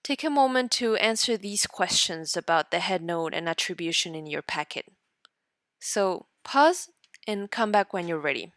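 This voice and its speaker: A woman's voice: fairly low pitch, animated, fairly bright tone, no echo. Woman teacher reading aloud, dry close sound. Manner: deliberate, pausing between short phrases to guide students. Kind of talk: instructional read-aloud lesson narration.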